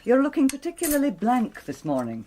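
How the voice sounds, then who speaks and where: An actress playing an affectionate, teasing, well-bred middle-aged wife in a radio drama. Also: female voice, a little high, wildly swooping pitch, brisk, slight background noise. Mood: probing, light. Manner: gently teasing, coaxing. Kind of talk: naturalistic British radio drama acting.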